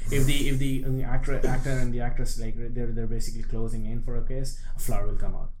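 Young man, Indian accent, relaxed chatter, echoey room. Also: medium pitch, fast, a little hiss or hum. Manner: loose and chatty, full of fillers and casual swearing. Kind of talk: casual chat.

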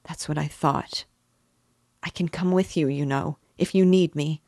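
A woman's voice: sits low, fairly even intonation, unhurried, a little mellow, dry recording. Anxious tearful mother with a raised, pleading voice, in close dry audiobook sound; a woman reader puts her on. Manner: pleading, emotional, breaking into sobs. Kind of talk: audiobook character reading.

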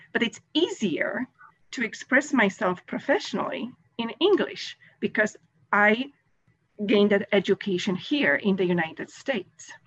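A woman's voice: fairly high pitch, fairly bright tone. A middle-aged woman from Poland with a Polish accent, a Polish native speaker joining a video call and talking thoughtfully, with some hesitation. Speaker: caller. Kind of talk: casual chat. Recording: dull lo-fi call audio.

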